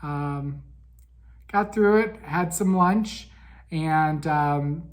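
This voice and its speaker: A man hosting a casual monthly podcast recap, raspy and weak in breath from a head cold, chatty with frequent ums. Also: a little high, drawn-out words. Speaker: podcast host.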